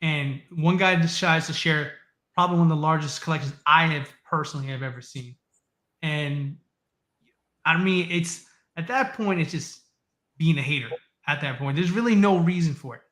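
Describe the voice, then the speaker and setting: Podcast guest in an echoey room. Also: male voice, on the high side, moderate pace. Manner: relaxed, offers opinions. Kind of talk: casual podcast chat.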